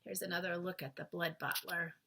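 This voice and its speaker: A woman's voice: on the low side, level tone, fairly quick, pushed and bright. A woman reading a children's book aloud as a storyteller, with short asides to listeners. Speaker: storybook reader.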